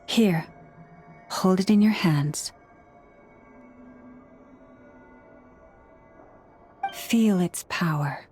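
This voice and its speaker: A woman voicing a gentle, earnest young moon priestess in a video game, speaking softly and with measure. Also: lowish voice, faint music behind. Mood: hesitant, caring. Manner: soft-spoken, earnest, measured.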